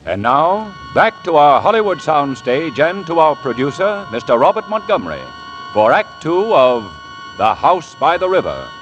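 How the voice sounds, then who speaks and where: Animated old-time male radio announcer. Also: fairly high pitch, normal speed, highs cut off. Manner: dramatic, formal. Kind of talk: 1940s scripted radio announcing. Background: dramatic music.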